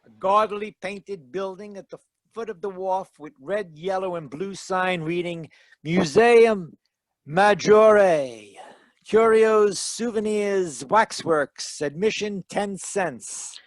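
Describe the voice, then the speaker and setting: An actor playing a wry, hardboiled private detective in an old-time radio drama, narrating in dramatic noir fashion. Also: male voice, high voice, slow, dry recording. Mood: hardboiled narration.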